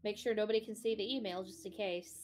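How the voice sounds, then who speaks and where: A woman joking and teasing in casual, expressive banter during a game stream. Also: mid-range voice, quick pace, slight background noise.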